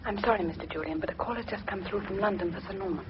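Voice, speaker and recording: A woman playing a polite office secretary in a British radio play. Her voice is quick, on a dull recording with faint hiss. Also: medium pitch, natural voice. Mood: polite, businesslike. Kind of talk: British radio drama acting.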